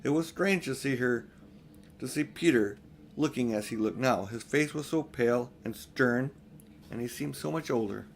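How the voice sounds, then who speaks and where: An older man tells a story as an amateur reader would, expressive and in no rush. His voice sits at a middle pitch. The home recording carries faint background noise. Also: moderate pace, gravelly. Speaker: audiobook reader. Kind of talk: storybook read-aloud with informal asides.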